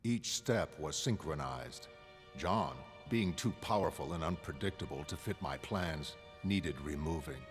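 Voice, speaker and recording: A coldly composed mastermind with a deep voice. He lays out his thoughts in measured, grandiose phrases, like a villain in an animated comic drama, with prominent music underneath. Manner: measured, cold, articulate, grandiose. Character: calm, calculating male mastermind confessing his grand plan. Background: dramatic background music.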